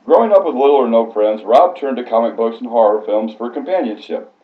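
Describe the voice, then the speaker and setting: A man's voice: medium pitch, expressive, brisk. A man reading a prepared biographical speech aloud in a formal, scripted way, stumbling now and then. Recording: echoey room, slightly muffled sound.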